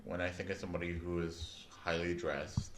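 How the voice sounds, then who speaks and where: A man from Canada co-hosting a casual podcast, telling stories with lots of swearing and loud laughs. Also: deep voice, moderate pace. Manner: animated storyteller, swears a lot, laughs loudly. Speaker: podcast co-host. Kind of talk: casual chat. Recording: echoey room with faint ambient noise.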